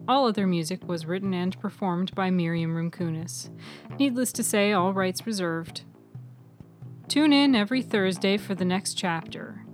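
A woman reading scripted narration for a fiction podcast, with music behind her. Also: medium pitch.

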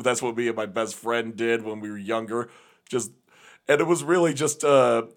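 A young man with a slightly raspy voice chatting casually as a podcast host, laughing often and rambling through fillers. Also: mid-range voice, fairly bright tone. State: slightly raspy voice after a gig. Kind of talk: casual chat.

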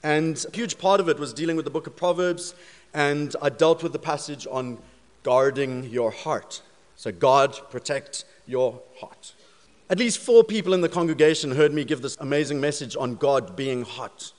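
A man's voice: on the high side, normal speed. A man with a South African accent delivers a Sunday sermon into a microphone. His delivery is conversational and humorous as he tells stories to the congregation. His voice carries a long reverb from the large church hall. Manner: storytelling, jokey then prayerful. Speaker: pastor. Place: church sanctuary. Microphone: handheld or stage microphone.

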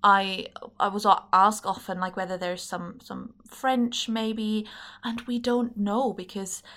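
A woman's voice: mid-range voice, flat delivery, slow pace, a bit bright. A young woman from Germany, a native German speaker, talking thoughtfully and a little hesitantly as a podcast guest. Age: young adult. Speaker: podcast guest, politics master's student. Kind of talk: casual interview conversation.

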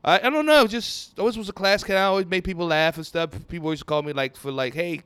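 A man from Nigeria, a comedian telling stories in a rambling, animated way during a casual podcast chat. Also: high voice, fast, smooth, no room sound. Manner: rambling, animated storytelling with quoted voices. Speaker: comedian guest.

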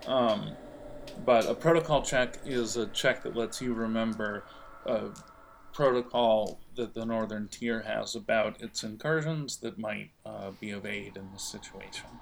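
A man narrating an improvised role-playing game as game master, conversational and pausing to think. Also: lowish voice, vocal fry, a little hiss or hum.

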